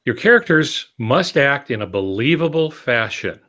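A man's voice: medium pitch, very animated, moderate pace, sharp tone. A man in his sixties co-hosting a podcast, explaining points from a home office on a video call. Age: 60s. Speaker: podcast co-host. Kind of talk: explanatory podcast talk on writing.

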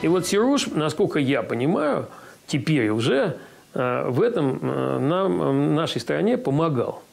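An older man in his sixties with a gravelly, slightly raised voice, recalling things in a hesitant way full of pauses and filler sounds. He sits in an ornate room with faint music beneath. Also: on the high side, very animated. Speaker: interviewee, producer. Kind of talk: interview recollection.

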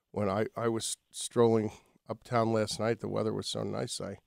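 A man's voice: fairly low pitch, creaky, a little mellow, no echo. A man hosting a radio show from a studio, interviewing in a conversational way. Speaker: radio host. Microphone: studio microphone.

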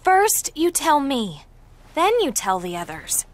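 A young game heroine with a high, animated voice. She is feisty and bossy, scolding her companion with an assertive edge that still shows she cares. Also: slow pace, full character voice. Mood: reproachful. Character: bossy, feisty, caring teenage girl companion. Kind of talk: video game cutscene acting.

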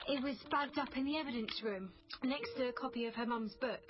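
A woman's voice: on the high side, brisk, lightly acted. An actress playing a matter-of-fact female police colleague in a naturalistic radio drama. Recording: slightly dull, music underneath. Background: music.

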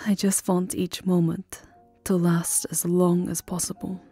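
A woman playing a tender, lovesick vampire countess in an intimate ASMR roleplay, speaking softly and often dropping into a whisper, with formal, wistful endearments. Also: lowish voice, little pitch movement, unhurried, husky. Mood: wistful, regretful. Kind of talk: ASMR roleplay, soft intimate romantic acting. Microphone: close microphone, dry. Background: music.

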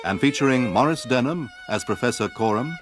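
A man's voice: mid-range voice, expressive, fairly quick. A man reading a scripted radio drama announcement. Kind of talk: scripted announcement of title and cast. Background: violin music.

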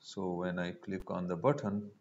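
A man giving a step-by-step screencast programming tutorial, halting as he thinks aloud while typing. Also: low-pitched, steady tone, a little mellow. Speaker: programming instructor. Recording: dull lo-fi mic with noise.

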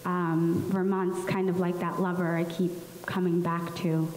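A young woman from Somalia speaks into a handheld mic at a public panel, telling her own story at an unhurried pace, full of ums and pauses. Her voice carries a roomy echo with a long reverb tail from the large hall, over a light hiss. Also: fairly low pitch, little pitch movement. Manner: slow, many ums, soft laughs. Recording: echoey hall with faint hiss.

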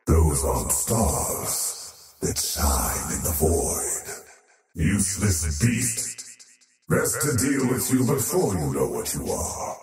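Haughty otherworldly villain, drenched in echo. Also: male voice, sits low, very animated, a little mellow, exaggerated voice. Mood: scornful, haughty. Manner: haughty, menacing, slow grandiose declamation. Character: faceless oathbreaker usurper, cosmic menace scornful of mortals. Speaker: video game character voice. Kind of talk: theatrical game villain voice lines. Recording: heavily echoing, distant-sounding, over music. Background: music.

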